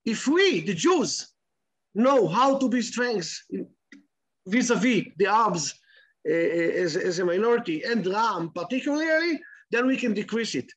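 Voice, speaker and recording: A middle-aged man with an Israeli accent giving an online lecture in halting English, full of repeats and restarts, over a video call. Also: high voice, lively intonation, fairly bright tone. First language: Hebrew. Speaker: guest lecturer.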